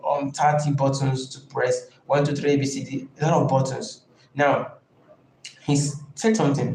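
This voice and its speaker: A man coaching a live online class in an informal, halting and deliberate way, stressing key points by repeating them. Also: medium pitch, darkish tone. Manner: emphatic, repeats key phrases. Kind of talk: informal live teaching talk. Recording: echoey room, faint background noise.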